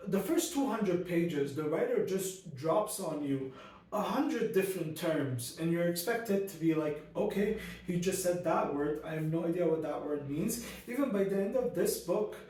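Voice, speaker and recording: A man's voice: fairly high pitch, expressive, normal speed, mellow, recorded from a distance. A man giving a casual, ranty book review, full of fillers and restarts. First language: not Arabic; Arabic learned as second language. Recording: very echoey room, voice from off mic.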